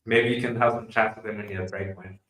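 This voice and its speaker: A man with a South African accent hosting a casual podcast chat, relaxed and chatty with lots of fillers. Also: fairly low pitch, a little fast, reverberant, background noise. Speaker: podcast host.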